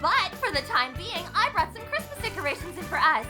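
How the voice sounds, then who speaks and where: Woman voicing a very high-pitched, bossy anime schoolgirl. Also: sing-song, fairly quick. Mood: excited, commanding. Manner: brash, commanding, peppy. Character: bossy, exuberant, headstrong high school club leader girl. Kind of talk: anime fan dub acting. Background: loud music.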